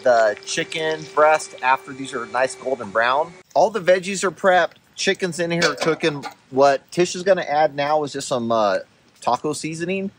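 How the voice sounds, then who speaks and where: A man's voice: on the high side, moderate pace, background noise. A man in his thirties, filming a casual camp vlog outdoors at a mountain campsite and explaining things in an easygoing way as he goes. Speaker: overlanding vlogger.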